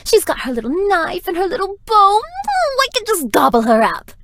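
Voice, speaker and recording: A woman's voice: very high-pitched, wildly swooping pitch, moderate pace, a bit bright, a little hiss or hum, exaggerated voice. A woman voicing a flirtatious, playful, theatrical vampire in a video game, teasing and melodramatic. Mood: amused, teasing. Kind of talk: scripted video game character dialogue.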